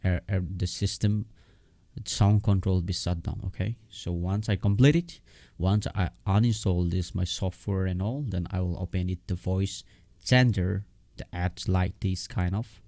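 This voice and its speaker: A man who is not a native English speaker gives a casual step-by-step software tutorial in a halting, repetitive way. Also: low-pitched, no echo. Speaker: tutorial host.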